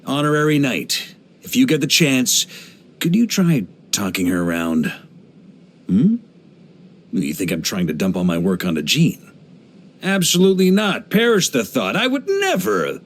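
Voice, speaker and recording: An actor playing a hearty, easygoing veteran knight grandmaster in a video game, speaking in an expressive, playful, conversational way. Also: male voice, medium pitch, measured pace, long pauses. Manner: jovial, expressive, playful, chuckling asides.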